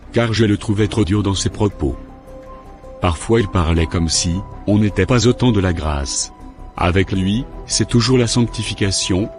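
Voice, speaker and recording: A man's voice: on the low side, a little mellow, natural voice. A man playing a boastful, worldly backsliding preacher in an amateur cartoon, self-satisfied in manner. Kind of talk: amateur cartoon monologue and dialogue. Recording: music behind the voice.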